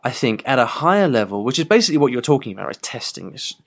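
A man's voice: mid-range voice, sing-song, fast, gravelly. A man with an English accent hosts a podcast in a casual, rambling manner, full of fillers and restarts. His voice comes through a broken microphone with poor sound. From England. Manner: chatty, loose, full of "you know" fillers and restarts. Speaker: podcast host.

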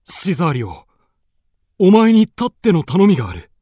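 An actor playing a dignified, lovestruck duke in a radio drama, speaking in a lordly, formal, theatrical and earnest way. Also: male voice, sits high, huge pitch swings, moderate pace, warm tone, no echo, faint music behind, full character voice. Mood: confiding.

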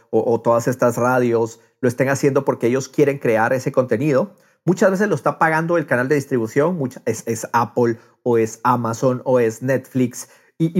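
Podcast host guy, voice a bit high, casual chat, throws in english words sometimes. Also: breathy edge. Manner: drops English words into speech.

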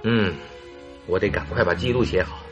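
An actor playing an earnest, easily miffed male sidekick in a Mandarin radio drama, expressive and huffy. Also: fairly low pitch, normal speed, muffled recording, music in the background, lightly acted.

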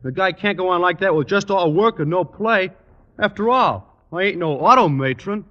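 A dopey, word-mangling teenage jock. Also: male voice, high-pitched, expressive, muffled recording. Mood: dopey, sheepish. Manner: slow-witted, ungrammatical, mangles words. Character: dim-witted lanky teenage boy football player. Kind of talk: 1940s radio sitcom acting. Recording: noisy old radio broadcast.

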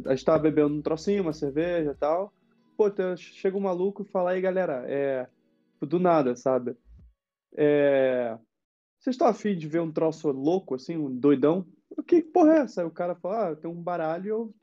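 A young Brazilian man telling a relaxed, slangy anecdote in casual chat. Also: on the high side, highs cut off. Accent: Brazilian Portuguese. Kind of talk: casual storytelling.

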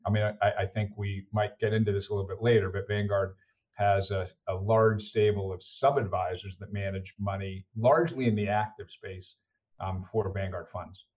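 A middle-aged American man with a fairly low voice answers as an executive guest on a podcast. He talks conversationally, pausing often as he finds his words. The recording is slightly dull. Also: steady tone. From United States. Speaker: interview guest, senior executive.

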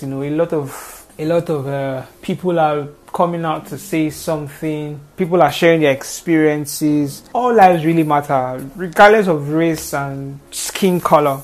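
A woman's voice: deep voice, a little mellow. A woman with a Nigerian accent and a small, thin voice, giving an earnest off-the-cuff talk on social issues, often repeating phrases for emphasis.

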